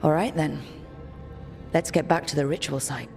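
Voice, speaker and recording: A woman's voice: deep voice, steady tone, normal speed, creaky. A woman playing a resolute adventurer who leads a fantasy party in a video game, speaking firmly and decisively. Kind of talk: video game cutscene acting. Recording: loud music behind the voice.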